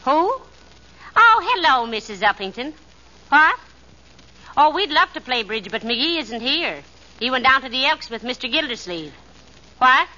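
An actress playing a shrewd, patient, teasing middle-aged wife in 1940s radio comedy, folksy and sweetly knowing. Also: female voice, high voice, very animated, unhurried, pauses often, bright, forceful tone, heavily acted. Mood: amused, knowing. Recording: dull, noisy 1940s radio recording.

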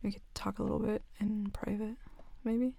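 A young woman playing a shy, nervous tomboy best friend confessing a crush in an intimate audio roleplay, hesitant and halting. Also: medium pitch, flat delivery, gravelly, warm tone, dry recording. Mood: flustered, nervous.

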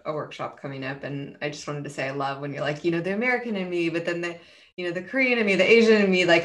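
Reflective woman co-host, chatty. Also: low-pitched. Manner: reflective, warm, hesitant, frequent fillers. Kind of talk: casual conversational chat.

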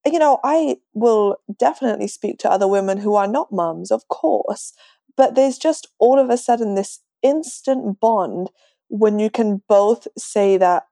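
A woman in her twenties talks casually as an interview guest. She has a London accent that has picked up some American colour. Her tone is dark and mellow at a medium pitch, and the recording is very dry. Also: lively intonation, a little slow, gravelly, dry recording. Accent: London English, slightly Americanised. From London, England. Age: 20s. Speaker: podcast guest.

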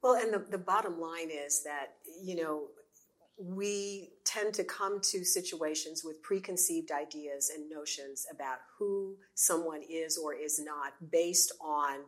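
A woman with a low-pitched voice hosts an interview. She speaks in an encouraging, conversational way, affirming her guest before asking open questions. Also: a little slow.

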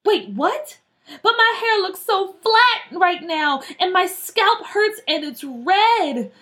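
A woman's voice: high-pitched, sing-song, moderate pace, a bit bright, voice pushed up. A woman voicing an eager, dramatic, headstrong young schoolgirl in a children's story. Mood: upset. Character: headstrong excitable schoolgirl wanting straight hair.

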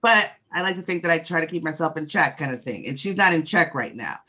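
Chatty deep-voiced woman co-host. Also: lively intonation, fast talker, sharp tone. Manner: chatty, speculative, frank with swearing. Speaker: podcast co-host. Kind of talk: casual chat.

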